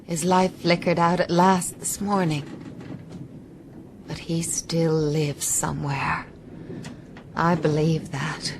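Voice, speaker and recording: A genteel castaway in a radio drama, weary but brave. Her words come slowly and with deep feeling. Sea and boat noise is clearly heard behind her. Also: flat delivery. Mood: hushed, grieving. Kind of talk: broadcast radio drama acting.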